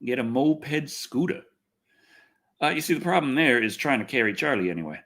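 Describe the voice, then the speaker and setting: Male livestreamer, lively swinging pitch, casual rambling delivery, wry and exasperated with salty language. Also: moderate pace. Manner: wry, exasperated, sweary griping. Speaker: livestream host. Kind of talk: casual rambling livestream chat, reading comments.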